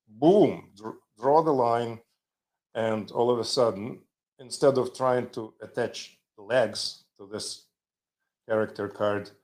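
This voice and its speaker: A man's voice: mid-range voice, a little mellow. A man hosting a live show, rambling and chatty with joking asides. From Ukraine. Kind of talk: casual live-stream demo.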